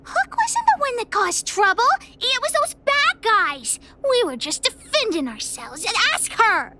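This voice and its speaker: A woman's voice: very high voice, sing-song, unhurried, pushed and bright, exaggerated voice. An actress voicing a feisty, stubborn little girl in a video game, with a childish manner. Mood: defiant, indignant. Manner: childish, speaks of herself in third person. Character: feisty, stubborn little girl who calls herself boss.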